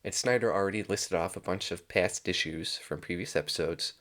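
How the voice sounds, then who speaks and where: A man's voice: low-pitched, fairly quick, a bit bright. A man co-hosting a casual TV episode review, chatting in a wry way. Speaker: reviewer, co-host.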